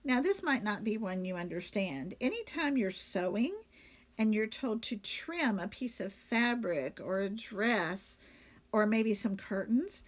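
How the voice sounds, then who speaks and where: Lady teacher reading a storybook to kids, slow and lively, asks questions and explains. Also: fairly high pitch. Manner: explanatory, addressing young listeners with questions. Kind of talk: children's story narration with explanations.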